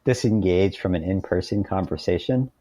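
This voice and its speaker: A man's voice: low voice, moderate pace, dark tone, dry recording. A man speaking as an interview guest, explaining things casually through informal anecdotes. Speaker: podcast guest, author.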